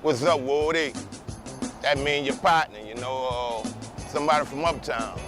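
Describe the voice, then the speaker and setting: A man's voice: medium pitch, moderate pace. A man with an uptown New Orleans accent, speaking in a laid-back, proud way in an interview clip. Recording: interview clip with music underneath.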